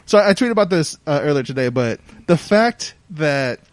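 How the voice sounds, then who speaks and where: A man's voice: on the high side, lively intonation, normal speed. A man co-hosting in casual banter, ranting with exasperated disbelief and some swearing. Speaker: podcast co-host.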